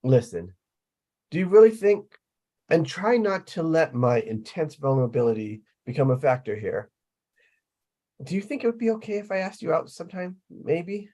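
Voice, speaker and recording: A soft-toned young man with very varied pitch, acted by a man at a table read. He plays a geeky, vulnerable sitcom brother, hesitant and awkward but hopeful. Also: very animated, moderate pace. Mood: nervous, hopeful.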